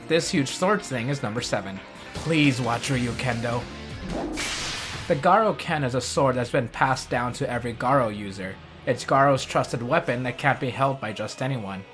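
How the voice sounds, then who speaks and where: A man's voice: medium pitch, fairly bright tone. Man narrating a jokey countdown over music. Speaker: host of a countdown review video. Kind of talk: scripted countdown commentary.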